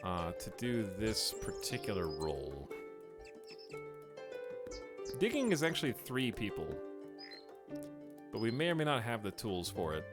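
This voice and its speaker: A man's voice: lowish voice, wildly swooping pitch, normal speed. A man streaming a building game who talks casually with his chat and thinks aloud as he plays. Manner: rambling, thinking aloud while playing. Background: game music.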